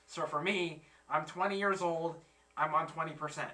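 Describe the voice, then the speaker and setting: Bright-voiced young man, heard from afar. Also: fairly high pitch, steady tone, far from the mic. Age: 20s. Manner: halting, searching for words. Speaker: reaction channel host. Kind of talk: casual chat.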